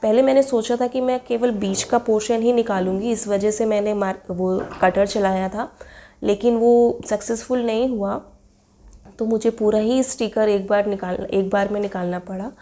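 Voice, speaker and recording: A young woman with a cold, her throat hoarse, chattily explaining a craft project step by step in a voice-over. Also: mid-range voice, steady tone, moderate pace, warm tone. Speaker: craft video maker.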